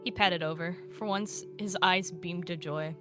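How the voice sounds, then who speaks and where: A woman's voice: lowish voice, fairly even intonation, unhurried, a bit bright. A woman narrating a story in the third person. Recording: music behind the voice.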